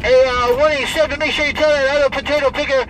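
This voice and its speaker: A man's voice: very high voice, little pitch movement, fast talker, low-quality recording. A man chatting on CB radio, repeating his calls in slangy radio talk. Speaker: CB radio operator. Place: inside a vehicle. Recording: loud vehicle and radio noise.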